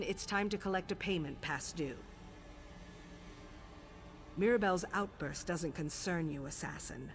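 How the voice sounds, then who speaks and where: Game casting: composed female assassin guildmaster played by an actress, low-pitched, formal, commanding, light music beneath. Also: close to an everyday voice. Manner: measured, formal, authoritative. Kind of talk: video game voice acting. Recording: faint background music under the voice.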